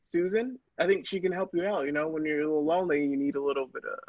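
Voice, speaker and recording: A man's voice: a little high, quick pace, no echo, dull audio, close to an everyday voice. A man playing a persuasive, rambling doctor-inventor hawking robots in an improvised comedy sketch. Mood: persuasive, defensive. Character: salesy male doctor inventor pitching his robots.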